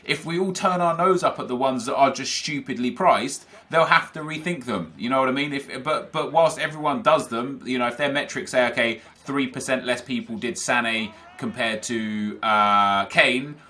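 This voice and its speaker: A young man, talking casually to viewers about a football video game and reading their comments, energetic and opinionated. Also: medium pitch, moderate pace, hardly any pauses. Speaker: gaming YouTuber.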